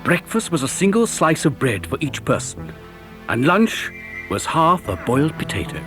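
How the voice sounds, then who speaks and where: A male narrator tells a children's story in a dramatic, scripted way. His voice is fairly high and swings widely in pitch, with music clearly behind him. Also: wildly swooping pitch, normal speed.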